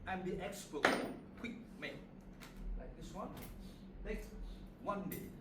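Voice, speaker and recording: Man, Indonesian accent, slightly high voice, short simple English phrases, craft demonstrator, loud background noise. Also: slow pace. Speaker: puppet maker giving a demonstration. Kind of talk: informal craft demonstration to visitors. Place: roomy puppet studio. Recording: roomy, distant mic, loud background noise.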